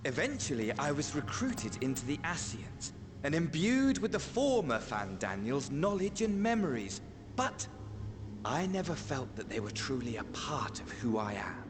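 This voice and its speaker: An actor playing a flippant, sinister sorcerer villain in a video game, languid and theatrical with coy pauses. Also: male voice, medium pitch, big pitch swings, music in the background, close to an everyday voice. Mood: smugly musing. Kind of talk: video game cutscene acting.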